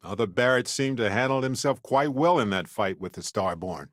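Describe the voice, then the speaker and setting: An actor playing a chatty, good-natured space explorer in a video game, speaking in a playful, conversational way with wry expressiveness. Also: male voice, mid-range voice, animated, normal speed, no echo, clean studio sound, natural voice. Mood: upbeat, playful. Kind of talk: video game companion voice lines.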